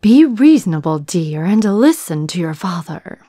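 A woman's voice: lowish voice, big pitch swings, a little slow, dark tone, no room sound, clean studio sound. A woman playing an elegant, chiding, middle-aged wealthy mother in a visual novel.

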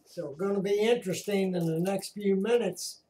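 American man, slow halting delivery, mellow tone, casual live narration, echoey room. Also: high-pitched, a little hiss or hum. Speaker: amateur storm-watcher narrating a screen recording. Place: indoors at home by a computer. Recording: roomy echo.

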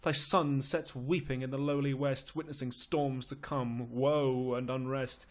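A man's voice: mid-range voice, steady tone, a little slow, pitched above the performer's own voice, natural voice. A man playing a loyal English lord, declaiming verse in a pleading, mournful manner. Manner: declamatory, lamenting verse delivery.

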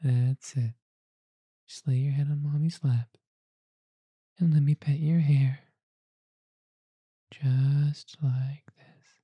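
A woman doing an ASMR roleplay as a mother comforting her child, speaking in a soft, cooing, soothing way close to the mic. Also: deep voice, fairly even intonation, measured pace, long pauses, breathy edge, no echo. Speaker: roleplay performer.

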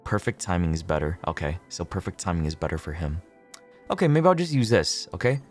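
A man with a deep voice chats to viewers on a gaming livestream. He talks casually, curses freely and repeats himself as he reasons out loud. Soft music plays behind him. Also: lively intonation, brisk. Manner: casual, sweary, repeats himself, thinks aloud.

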